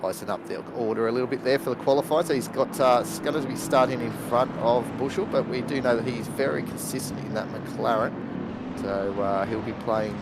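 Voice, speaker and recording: A man co-commentating a sim race in a relaxed, conversational way with frequent fillers. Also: medium pitch, fairly quick, background noise.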